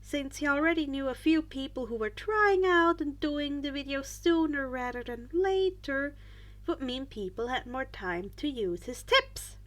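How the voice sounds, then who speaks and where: A woman reading fan fiction aloud as an expressive story narrator. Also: high-pitched, measured pace.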